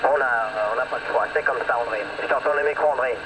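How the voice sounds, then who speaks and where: A man's voice: medium pitch, steady tone, fast. A man from France chats casually as a radio operator over a two-way radio, rambling and repeating himself. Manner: rambling, repeats himself, ends phrases on tags. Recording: clearly noisy two-way radio transmission.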